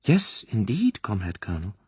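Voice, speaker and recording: A man's voice: on the low side, huge pitch swings, normal speed, darkish tone, breathy edge, no echo, full character voice. A man voicing a wary, guileless young woman corporal who speaks in a meek, hesitant way.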